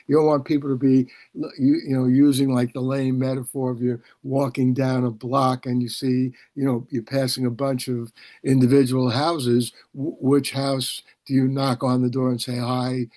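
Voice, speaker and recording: A man's voice: mid-range voice, darkish tone, dry recording. Dry-sounding man hosting a discursive chat. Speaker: interview host. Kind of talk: conversational interview hosting. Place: video call.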